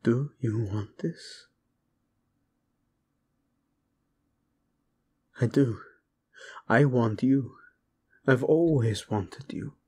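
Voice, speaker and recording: A male voice actor playing a brooding young warlord prince who speaks softly and often drops to a whisper to his bride. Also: medium pitch, takes it slowly. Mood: longing. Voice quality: husky, soft-spoken, often drops to a whisper. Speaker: audio roleplay voice actor. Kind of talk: audio roleplay acting.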